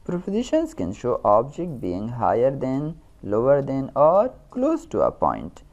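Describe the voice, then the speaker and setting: A woman with a Pakistani accent giving a scripted grammar lesson as a teacher, in a deliberate, instructional manner. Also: a bass voice, huge pitch swings, darkish tone, muffled recording. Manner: instructional, deliberate, repeats key terms. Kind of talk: scripted lesson narration with examples.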